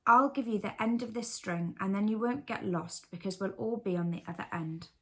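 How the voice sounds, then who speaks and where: A woman's voice: medium pitch, moderate pace, fairly bright tone, a little hiss or hum, close to an everyday voice. A woman voicing an earnest, sensible male teddy bear who saddens easily, in a bedtime storybook. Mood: practical.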